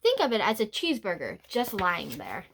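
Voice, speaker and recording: A woman's voice: medium pitch, huge pitch swings, normal speed, vocal fry, a bit bright, a little hiss or hum, heavily acted. A woman voicing a sly, self-excusing male wolf telling his storybook tale with dramatic, mock-innocent pleading.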